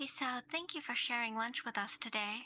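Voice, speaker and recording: A woman playing a gentle, courteous teenage schoolgirl in a visual novel, composed and politely formal. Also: on the high side, fairly quick. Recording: loud music under the voice.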